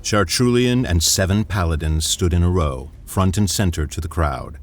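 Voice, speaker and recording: A man's voice: sits low, normal speed, faint music behind. A man narrating a scripted science-fiction audio drama as its storyteller. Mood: measured.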